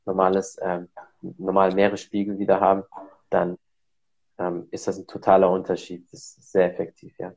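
Brief: fairly low male host, chatty explainer, muffled lo-fi audio. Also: completely flat delivery, brisk, vocal fry, darkish tone, slightly rough. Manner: explains at length, many fillers. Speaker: podcast host. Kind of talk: casual podcast conversation.

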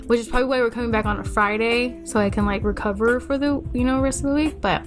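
A woman's voice: a little high, fairly even intonation, quick pace. A young woman chatting casually as a podcast co-host, swearing freely and acting out her own past remarks. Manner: chatty, swears freely, quotes herself in asides. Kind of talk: casual chat. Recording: music playing behind the talk.

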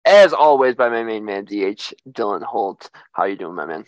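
A young man hosting a sports podcast, talking in a relaxed way with lots of "uh" and "like". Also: fairly low pitch, normal speed, no echo, lo-fi sound. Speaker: podcast host.

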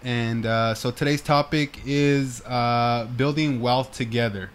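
A man's voice: mid-range voice, steady tone, slow, slight background noise. A man hosting a casual podcast with his wife, joking and teasing with lots of fillers. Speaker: podcast host.

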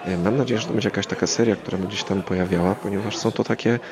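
A man's voice: fairly low pitch, fairly even intonation, very fast, crowd noise. A man hosting a casual solo podcast, rambling hesitantly as he thinks aloud. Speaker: podcast host.

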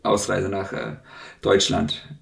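A man's voice: sits low, flat delivery, slow, vocal fry, fairly bright tone. A man in his thirties from Russia, answering interview questions in a hesitant way with many filler sounds. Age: 30s. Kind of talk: conversational interview. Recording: echoey room, distant mic.

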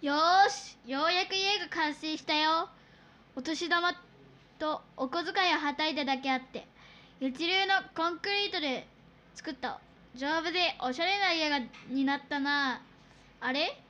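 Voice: high-pitched, a little slow, sharp tone, slight background noise. A performer voicing a sensible, proud young pig boy, confident and matter-of-fact, in a children's radio drama. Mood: proud, pleased.

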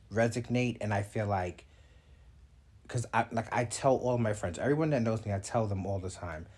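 A man in his mid-thirties talks through a solo podcast episode in a loose, chatty way. His voice sits a little low and has a husky edge. He muses and chuckles as he goes. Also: darkish tone. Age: 30s. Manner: chatty, reflective, laughs between thoughts. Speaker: podcast host. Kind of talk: casual solo podcast monologue.

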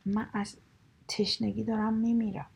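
A haughty lion who calls himself king of all animals, pressing his claims in a hushed, insistent voice, as a woman reads him. It is breathy and gravelly, warm rather than bright. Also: medium pitch, flat delivery. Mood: demanding. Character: proud male lion, greedy king of beasts.

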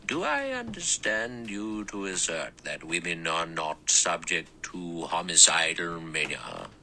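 A man voicing an elderly male judge with a small, clear, thin and sour voice, speaking slowly and measured. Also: a bass voice, pushed and bright, slight background noise, heavily acted. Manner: slow, measured, dry.

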